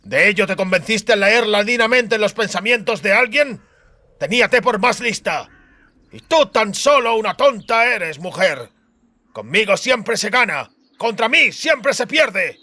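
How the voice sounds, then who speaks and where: Man acting venomous colonel, high and menacing. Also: expressive, a little slow, sharp tone, no echo, full character voice. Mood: venomous threatening. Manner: drawling, menacing, archaic pompous speech. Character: venomous, threatening male colonel, cold commanding villain.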